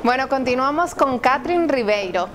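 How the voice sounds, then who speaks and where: A woman's voice: high-pitched, animated, normal speed, fairly bright tone. A young adult woman co-hosting a variety show and presenting guests. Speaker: TV co-host. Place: indoor restaurant lounge.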